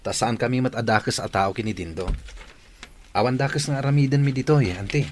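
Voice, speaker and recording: A loyal young Filipino shop helper played by a man with an Ilocano accent and a medium-pitched voice, respectful as a nephew, in a village radio drama. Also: a little fast, a little mellow, a little hiss or hum, natural voice.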